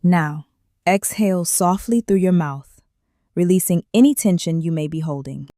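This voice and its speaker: A woman leading a guided meditation in a calm, gentle way, with slow soothing instructions and long pauses. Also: fairly low pitch, dry recording, studio quality.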